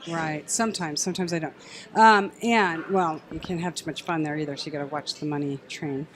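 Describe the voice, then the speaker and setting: Woman with deliberate, teasing delivery. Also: deep voice, fairly even intonation, slight background noise. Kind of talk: casual panel banter.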